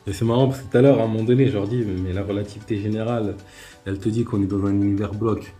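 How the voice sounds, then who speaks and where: A man's voice: lowish voice, steady tone, racing, dark tone, faint music behind. A man hosting a live online talk, chatting casually, laughing often and restarting his sentences. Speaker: host of live debate stream.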